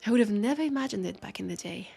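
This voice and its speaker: A woman with a French accent voicing a wry, centuries-old vampire detective in an intimate audio roleplay, husky and creaky, talking in an unhurried, conversational way. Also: medium pitch, lively intonation, mellow, faint music behind, full character voice. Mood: curious, playful. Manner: unhurried, conversational, with pauses and sighs.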